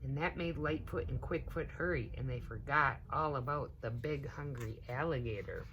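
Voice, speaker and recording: A woman reading a children's storybook aloud, expressive and emphatic, as if to young children. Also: very deep voice, moderate pace, dull audio, background noise. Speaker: story time reader, teaching assistant.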